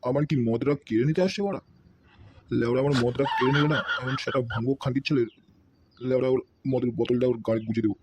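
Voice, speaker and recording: A man's voice: medium pitch, fairly even intonation, fast talker, noisy background, lightly acted. A man voicing a middle-aged drunkard beggar in a comedy cartoon, rambling and constantly swearing. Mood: grumbling. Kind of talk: amateur comedy cartoon voice-over. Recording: music behind the voice.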